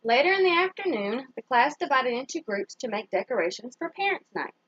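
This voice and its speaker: A woman with a fairly high, very lively voice reads a children's story aloud like a teacher. She stops to ask her young listeners simple questions. The recording is dry and a bit dull. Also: slightly rough, no room sound. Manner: pauses to put simple questions to young listeners and praise their answers. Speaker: teacher reading a picture book aloud to children.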